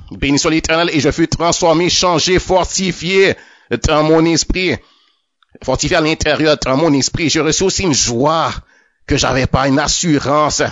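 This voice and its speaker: A man's voice: fairly high pitch, moderate pace, fairly bright tone, no room sound. A man giving a spoken religious testimony, often stammering over and repeating his words as he goes. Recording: dull lo-fi, dry.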